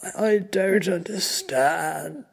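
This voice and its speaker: A frightened, hurt henchman as a narrator acts him out, stammering in halting bursts. The voice is very husky and breathy, pushed higher and louder than the narration in a dramatic audio story. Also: very animated, heavily acted. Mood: stunned fear. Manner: stuttering, halting, strained. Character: frightened, injured henchman named Slim, stammering and gasping.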